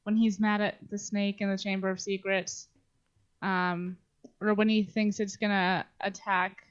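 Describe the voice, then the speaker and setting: A woman's voice: mid-range voice, dull audio. A woman co-hosting a podcast in casual banter, jokey, informal and free with swearing. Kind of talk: casual banter about a story.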